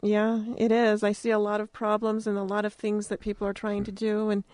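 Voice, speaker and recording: An American woman with a medium-pitched voice in a dry studio. She hosts an informal campus radio interview, talking plainly and conversationally. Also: monotone, brisk, darkish tone, no room sound.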